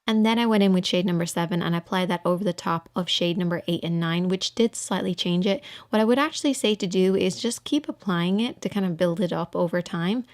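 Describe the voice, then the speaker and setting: A woman's voice: on the low side, a little fast, hardly any pauses. A woman giving an informal voice-over for a makeup tutorial, explaining things step by step.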